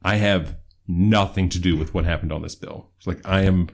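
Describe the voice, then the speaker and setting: A man's voice: deep voice, fairly even intonation, normal speed. A man in his twenties co-hosting a podcast, telling stories casually, laughing often and swearing freely. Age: 20s. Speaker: podcast co-host. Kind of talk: casual chat.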